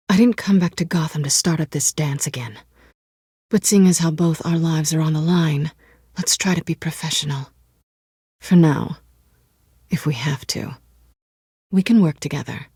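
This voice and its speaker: An American woman playing a sly, guarded cat-burglar in a video game, teasing and coolly wry, with a husky, breathy voice. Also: low-pitched, dark tone, no echo, clean studio sound, full character voice. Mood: guarded, serious.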